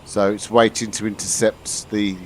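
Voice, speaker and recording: A man's voice: lowish voice, flat delivery, normal speed, a little hiss or hum. Chatty British man streaming a flight sim. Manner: chatty, answers viewers, frequent restarts. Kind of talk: live-stream commentary to chat.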